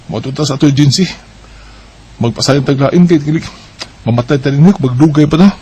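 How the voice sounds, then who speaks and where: A man's voice: a little high, fairly quick, warm tone, a little hiss or hum, lightly acted. A man with a Bisaya accent playing a weary, plain-spoken young labourer in an amateur radio drama. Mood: weary, complaining.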